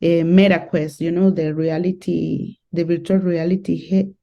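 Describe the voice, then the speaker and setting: A woman's voice: fairly low pitch, flat delivery, normal speed, vocal fry, highs cut off. A woman from Colombia speaking English with a Colombian Spanish accent, explaining things plainly in a casual conversation. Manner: explains plainly, with simple grammar. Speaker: guest.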